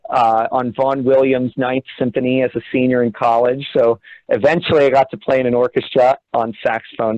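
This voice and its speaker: A man's voice: mid-range voice, no room sound. Young man rambling over a dull video call. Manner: rambling, self-correcting, reflective. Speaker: guest, orchestra assistant conductor. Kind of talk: casual storytelling in an interview.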